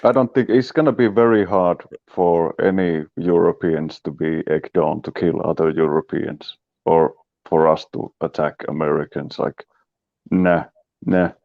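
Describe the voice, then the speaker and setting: Gravelly low-voiced man. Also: dry recording, highs cut off. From Finland. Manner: animated, acts out quoted reactions. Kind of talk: casual online chat.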